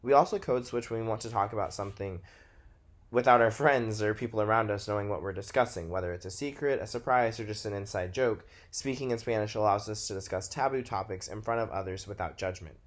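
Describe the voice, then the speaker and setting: A man's voice: lowish voice, fast. A nineteen-year-old man from Texas with a Texas American accent, narrating a scripted presentation as a student. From Texas, United States.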